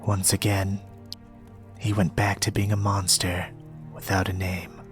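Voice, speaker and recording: Slow, soft-spoken young man, low voice. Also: flat delivery. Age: young adult. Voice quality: soft-spoken, partly whispered. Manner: slow, gentle, measured delivery. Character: polite soft-spoken young male reader, quietly eerie. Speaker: storytelling ASMR performer. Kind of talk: ASMR storybook reading in character. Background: soft music.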